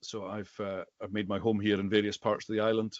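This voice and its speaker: Middle-aged Highland Scottish man, slightly muffled. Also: flat delivery, a little fast, no echo. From Western Isles of Scotland. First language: Scottish Gaelic. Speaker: guest, bookseller and Gaelic teacher. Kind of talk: conversational interview answers.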